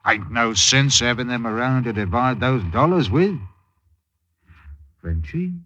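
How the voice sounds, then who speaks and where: Sly old pirate, husky n slow, salty talk, old radio drama. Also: male voice, medium pitch, animated, bright, forceful tone, no room sound. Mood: sly, scheming. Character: rough old sly pirate sailor, coaxing and menacing. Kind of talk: 1950s radio drama acting. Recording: dull, dry old radio recording.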